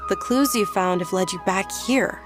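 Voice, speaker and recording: An actress playing a gentle young noblewoman who speaks softly and earnestly, with faint music behind. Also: medium pitch, normal speed, close to an everyday voice. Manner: soft, polite, earnest.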